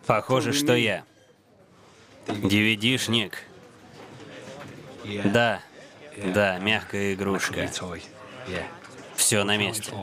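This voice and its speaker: A man's voice: fairly low pitch, flat delivery, very slow, pauses often, a crowd in the background. A man voicing a shady, offhand working-class character in a Russian dub of a TV comedy-drama. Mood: deadpan. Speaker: dubbing actor.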